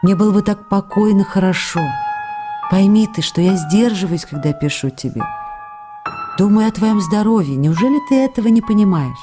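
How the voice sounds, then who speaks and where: A woman's voice: medium pitch, expressive, moderate pace, lightly acted. An actress reading a passionate young actress's letters to her husband in a radio play, ardent and reproachful by turns. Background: music.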